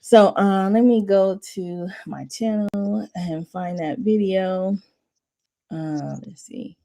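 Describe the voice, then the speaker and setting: A woman hosting a watch party in casual chat, rambling and restarting, her voice mostly monotone but jumping high at times. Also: slow, gravelly. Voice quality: says her voice runs monotone then jumps high. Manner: rambling, restarting often, laughing between thoughts. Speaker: host of a watch party stream.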